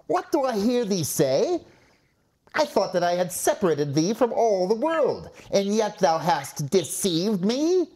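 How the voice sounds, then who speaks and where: Slow, venomous old enchantress. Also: male voice, high voice, sing-song, darkish tone, pitched above the performer's own voice. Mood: furious. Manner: mocking, drawn-out, theatrical. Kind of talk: storybook fairy tale character.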